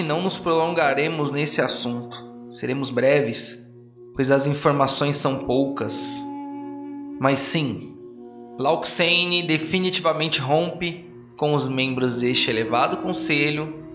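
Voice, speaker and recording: A man's voice: medium pitch, roomy sound, highs cut off, close to an everyday voice. A man playing a grave, eloquent arcane council speaker with a formal, declamatory delivery in a tabletop audio drama.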